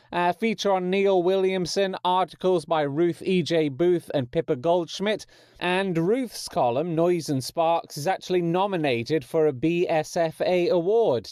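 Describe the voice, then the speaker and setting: Clear, higher-voiced man hosting a podcast. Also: a little mellow, dry recording, clean studio sound. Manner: wry, jokey asides. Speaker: podcast host. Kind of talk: informal promotional announcements.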